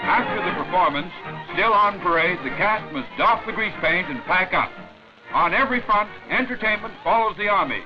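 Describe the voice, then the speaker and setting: A man's voice: sits high, expressive, moderate pace. A man narrating a scripted wartime newsreel in a jaunty, declamatory showman style over music, on an old film soundtrack. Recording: dull vintage 1940s newsreel soundtrack.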